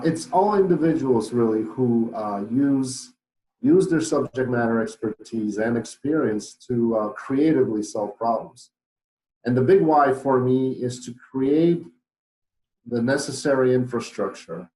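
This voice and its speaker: A man's voice: mid-range voice, mellow, roomy sound, a little hiss or hum, low-quality recording. A middle-aged man with a Polish accent, a podcast guest who talks thoughtfully with many fillers and restarts. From Poland. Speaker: guest, business owner. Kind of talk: podcast interview.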